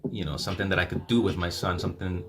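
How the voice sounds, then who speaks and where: Low-voiced dad, rambling and chatty. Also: male voice, little pitch movement, racing, creaky. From El Salvador. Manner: rambling, chatty, restarts words while handling toys. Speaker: YouTube channel host. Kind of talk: casual livestream chat and Q&A. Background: music playing.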